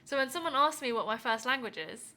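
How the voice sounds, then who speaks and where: A woman's voice: high voice, animated, brisk, pushed and bright. A woman co-hosting a podcast, a native Korean speaker, chatting casually and colloquially. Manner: informal, colloquial. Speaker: podcast co-host. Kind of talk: casual chat.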